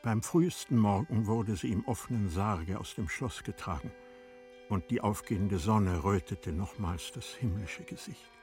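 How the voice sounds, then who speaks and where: A man giving a measured, solemn literary narration for a radio drama in a very husky voice. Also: on the low side, darkish tone, faint music behind. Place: dry studio.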